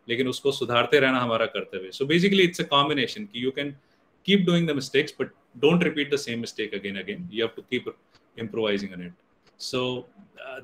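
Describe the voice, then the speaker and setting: A man's voice: mid-range voice, fairly bright tone, slight background noise, rough recording. A middle-aged Indian man, a native Hindi speaker, gives an online guest lecture in English with many fillers and restarts. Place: indoor room on a video call. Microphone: webcam or laptop mic on video call.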